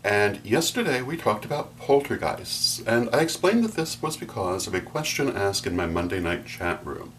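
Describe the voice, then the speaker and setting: Middle-aged man with lively, swooping intonation. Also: fairly low pitch. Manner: formal, measured wording. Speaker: vlog host, reverend. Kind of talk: explanatory vlog monologue to camera. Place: small furnished room at home, indoors. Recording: distant mic, faint hum.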